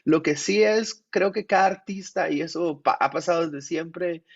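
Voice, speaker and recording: A man's voice: a little high, moderate pace, creaky, slightly rough. A man, sick with a cold, answering questions thoughtfully at an art conference, with frequent restarts. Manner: reflective, with many restarts. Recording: muffled, lo-fi audio.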